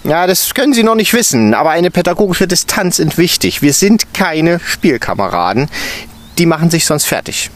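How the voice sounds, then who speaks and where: A man's voice: a little high, sing-song, moderate pace, pitched above the performer's own voice. A man putting on a stern, bossy kindergarten teacher. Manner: commanding, scolding.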